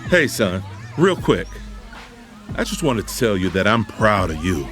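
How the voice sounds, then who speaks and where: Animated, self-assured middle-aged patriarch. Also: male voice, lowish voice, unhurried. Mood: proud, earnest. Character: proud, self-assured, dismissive patriarch father. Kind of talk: audio drama acting. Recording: music behind.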